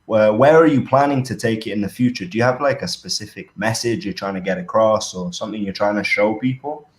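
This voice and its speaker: A man's voice: fairly low pitch, a little fast. A man co-hosting a podcast, asking curious questions in casual chat. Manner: short backchannel replies, curious questions. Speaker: podcast co-host. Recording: echoey room.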